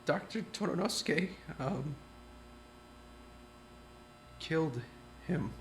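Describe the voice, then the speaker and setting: An actor plays a jittery young man who stumbles and stutters over his words in a mid-pitched voice. A faint hum sits behind the voice. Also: animated, takes it slowly. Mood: stammering. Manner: stammering and anxious. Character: nervous, jumpy young man.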